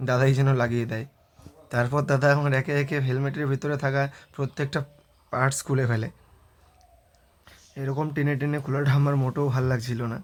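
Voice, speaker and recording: A man narrating a vlog voice-over, mixing in English words. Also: mid-range voice. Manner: mixes English words into speech. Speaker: motorbike vlogger.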